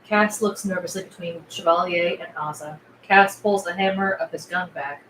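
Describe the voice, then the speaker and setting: A woman's voice: fairly low pitch, normal speed, a bit bright. A woman narrating the scene directions of a film script at a table read. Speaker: stage-direction reader. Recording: roomy echo with clear background noise.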